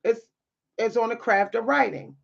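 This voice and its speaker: A woman with a deep, at times loud voice, speaking in a bold, sassy way with dry humor and repeating phrases for emphasis in a motivational monologue. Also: steady tone, moderate pace, vocal fry, lo-fi sound. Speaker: spiritual content creator and writer.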